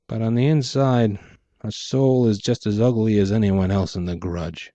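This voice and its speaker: A man voicing a theatrical, gloating clockwork gangster boss with taunting, drawn-out phrasing in a noir audiobook. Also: fairly low pitch, steady tone, dark tone, no room sound, muffled recording, lightly acted. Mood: smug, magnanimous. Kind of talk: dramatic audiobook character voice, noir pulp.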